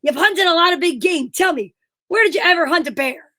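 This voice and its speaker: Woman as very high, bright bossy straight man. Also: fairly quick. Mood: prompting. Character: Abbott, bossy straight man of comedy duo. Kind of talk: 1940s radio comedy acting.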